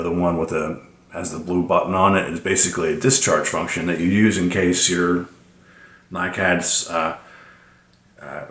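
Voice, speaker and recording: A man giving casual, unscripted product tips as a hobbyist presenter, explaining in a hesitant, halting way. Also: low-pitched, a bit bright, reverberant.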